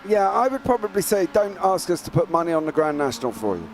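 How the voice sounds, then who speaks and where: A man's voice: on the high side, very animated, soft background music. A British man doing live motor racing commentary, teasing his co-host in easygoing banter and reading out grid positions. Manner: chatty, teasing, reads out grid positions briskly. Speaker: lead race commentator.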